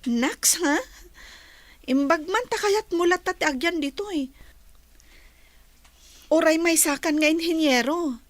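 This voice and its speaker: A woman's voice: very high voice, unhurried, pauses often, no room sound. A woman acting a caring, earnest and inquisitive young woman in an Ilocano radio drama. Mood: admiring, concerned.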